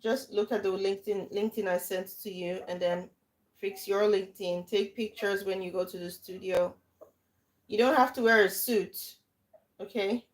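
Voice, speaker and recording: Nigerian woman, livestream host, emphatic and repetitive, mid pitch. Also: moderate pace, rough recording. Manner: lively, emphatic, repeats herself. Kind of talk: casual advice chat on a livestream.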